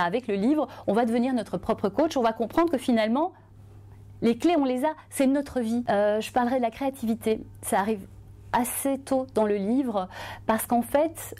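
A woman in her forties from Belgium, a journalist and author, telling her own story to camera in an earnest, expressive way. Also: fairly high pitch. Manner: earnest, expressive, lively hand gestures. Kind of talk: testimonial interview to camera.

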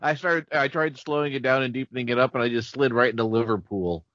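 A man's voice: medium pitch, fast. A man chatting casually as a podcast co-host. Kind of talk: casual chat. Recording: dry but slightly dull recording.

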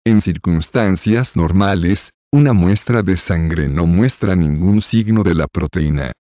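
A low-voiced man narrates a medical explainer from a script. His tone is mellow and soft-edged, read evenly and without hurry. The close recording sounds dry, with no room around it. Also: unhurried, no room sound.

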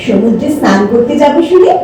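An actress playing a lively, playful young woman poet in an audio drama. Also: a little high, brisk, soft background music. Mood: animated, reminiscing. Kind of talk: audio drama acting with recited verse. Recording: very echoey, distant microphone.

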